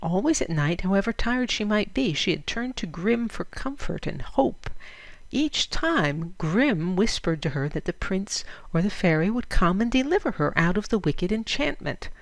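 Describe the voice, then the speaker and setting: A woman narrating a literary story in a measured, expressive storytelling manner. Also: mid-range voice, dry recording. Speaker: audiobook reader.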